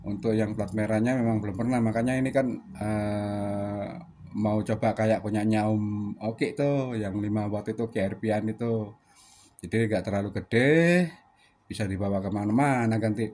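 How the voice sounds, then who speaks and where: Brief: lower-voiced man from Surabaya, relaxed ham radio chatter, faint hiss behind. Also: normal speed. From Surabaya, Indonesia. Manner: chatty, many fillers, ends turns with "ganti". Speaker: ham radio operator and channel host. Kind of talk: casual radio chat.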